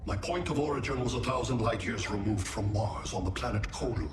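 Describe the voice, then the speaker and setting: A man playing a cold, haughty alien machine intellect in a video game, speaking in measured, formal phrases. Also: on the low side, animated, lightly acted. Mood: cold, superior. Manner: measured, pompous, formal and deliberate. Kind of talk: video game cutscene acting. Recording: echoing voice under loud music.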